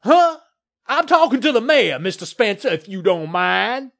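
A man voicing a blustering, bullying land developer in an audiobook story, with a loud, growling, puffing delivery. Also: high voice, sing-song, unhurried, gravelly, pitched above the performer's own voice, heavily acted. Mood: indignant.

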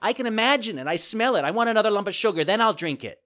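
A man voicing a stubborn little wooden puppet boy in a whining, loud, petulant storybook voice. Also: high voice, lively intonation, fast, voice pushed up. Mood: whiny, stubborn.

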